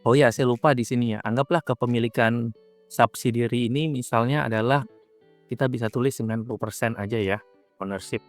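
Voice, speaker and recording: A man's voice: medium pitch, steady tone, fairly quick. Man teaching over background music. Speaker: accounting teacher. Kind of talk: explanatory tutorial lecture, informal.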